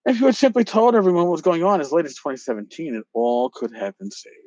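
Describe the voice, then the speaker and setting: A man hosting a podcast, talking casually and reading aloud in an emphatic, theatrical, sometimes halting way, on a slightly lo-fi recording. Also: fairly high pitch, very animated, a little mellow, dry recording. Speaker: podcast host. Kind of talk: casual talk with dramatic reading of emails aloud.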